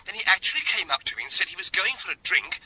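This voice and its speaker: An actor playing an eager, slightly abashed junior police detective making an earnest report in a radio drama. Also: male voice, sits high, lively intonation, very fast, bright, forceful tone, husky, heavily acted, rough recording. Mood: earnest, flustered.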